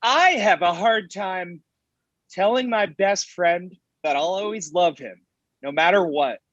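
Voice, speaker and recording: A man acting as a grumpy, lovelorn young man in a comedy table read, confessing earnestly and haltingly. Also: high-pitched, fairly bright tone, no room sound, highs cut off. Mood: earnest, confessional.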